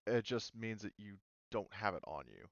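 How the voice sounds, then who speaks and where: A man running an online tabletop game in a fairly low, clear voice. He explains things informally and in a rambling way. The sound is close and dry, slightly dulled like voice chat. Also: very animated, normal speed, no room sound, highs cut off. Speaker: game master.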